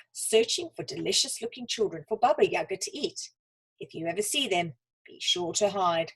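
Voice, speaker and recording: A woman's voice: medium pitch, breathy edge, rough recording. A woman reading a children's story aloud as a storyteller. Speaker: school storyteller.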